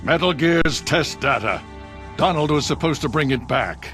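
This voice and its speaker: An actor voicing a cold, scheming male government official, with a condescending, smooth delivery. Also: a little high, lively intonation, moderate pace, a bit bright, soft background music. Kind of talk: video game cutscene.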